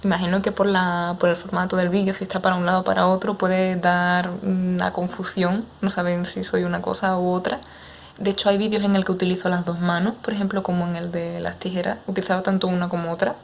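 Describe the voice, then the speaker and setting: Unhurried Andalusian woman chatting. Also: on the low side, little pitch movement, gravelly, a little hiss or hum. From Andalusia, Spain. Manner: unhurried, hesitant with frequent fillers. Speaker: ASMR creator. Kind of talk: casual Q&A chat with viewers.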